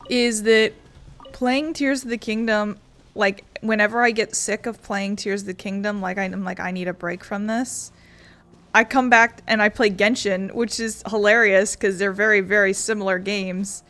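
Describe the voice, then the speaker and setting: A woman's voice: mid-range voice, steady tone, normal speed, a bit bright. A woman hosting a gaming video, chatting casually in a rambling way with many fillers. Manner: rambling, filler-heavy talk. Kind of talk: casual chat while playing.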